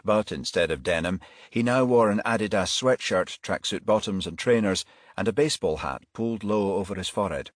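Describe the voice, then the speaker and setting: A man's voice: fairly low pitch, brisk, no room sound, studio quality. A man reading a crime thriller audiobook as scripted narration.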